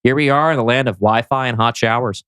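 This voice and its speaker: A man's voice: medium pitch, fast, fairly bright tone, clear, resonant tone, no echo, studio quality, lightly acted. A man reading the part of a breezy, slangy buddy in a science-fiction script, with a flippant manner. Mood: flippant explaining. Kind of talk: script table read.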